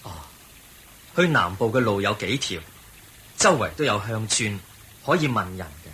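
An actor playing the Buddha as a kindly, patient monk in a Cantonese radio drama, with a Hong Kong accent. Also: male voice, on the low side, big pitch swings, bright, forceful tone, slightly rough, heavily acted. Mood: kindly, guiding. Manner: measured, patient explaining. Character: the Buddha, calm kindly male wandering monk and teacher.

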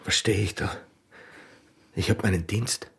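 An actor playing an awkward, smitten, duty-bound border guard in a radio drama, speaking Austrian dialect in a halting murmur. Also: male voice, lowish voice, creaky, husky, exaggerated voice. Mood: flustered, defensive.

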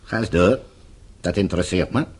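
An actor playing a falsely courteous, menacing captor and regime agent in a Dutch radio drama, speaking in measured, formal, condescending phrases. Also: male voice, low-pitched, husky. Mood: coolly menacing.

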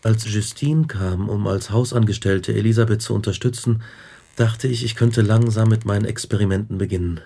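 An actor playing an earnest, brooding young scientist who recounts his tragic life in measured radio drama narration. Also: dark tone. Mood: reflective, sombre. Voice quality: low, creaky.

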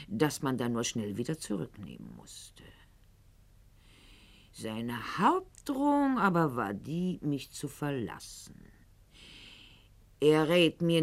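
A proud lady of the aristocracy delivers a radio drama monologue in a lowish voice. She takes her time over every literary phrase, articulate and haughty, with irony over a wounded core. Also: exaggerated voice.

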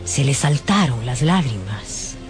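A man's voice: mid-range voice, very animated, measured pace, over music. A man narrating a scripted radio drama as a storyteller.

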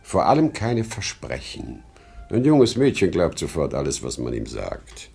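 A man's voice: rumbling low, huge pitch swings, a little slow, creaky, a little mellow, husky, soft background music, heavily acted. An actor playing a boastful, insecure aging travelling salesman in a 1950s German radio drama, talkative and exclamatory. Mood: proud, fatherly. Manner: talkative, exclamatory, swinging from bragging to self-doubt.

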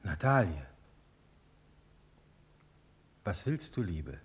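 A man's voice: sits low, very animated, moderate pace. An actor playing an older ruler, fatherly yet firm, who speaks in grave, declamatory verse in a classic radio drama. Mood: tender, concerned.

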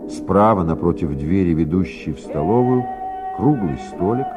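A man reading scripted dramatic narration for a radio play in a measured, theatrical manner. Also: low voice, expressive, loud music behind the voice.

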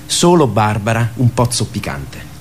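A man's voice: lowish voice, wildly swooping pitch, measured pace, slight background noise. A man narrating a radio drama in short, scripted present-tense phrases. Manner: terse stage-direction phrasing.

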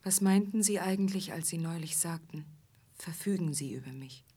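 An actress playing a wary, downtrodden young touring musician in a radio play, guarded, plaintive and direct. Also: female voice, lowish voice, normal speed, warm tone, husky. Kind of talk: German radio play acting.

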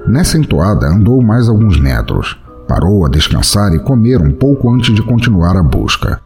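A man giving a theatrical, scripted narration of a dark fantasy tale as a storyteller. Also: sits low, very animated, moderate pace, gravelly. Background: music.

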